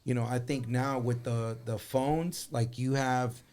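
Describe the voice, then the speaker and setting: A man's voice: mid-range voice, steady tone, unhurried, a little mellow. A man talking casually as an interview guest, earnest and emphatic about his points. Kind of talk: casual chat.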